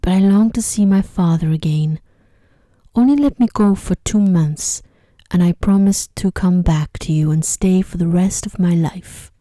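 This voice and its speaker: A woman voicing a kind, earnest young fairytale heroine, softly and in a hushed ASMR storybook reading. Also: on the low side, measured pace, no echo, lightly acted. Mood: soft, reassuring.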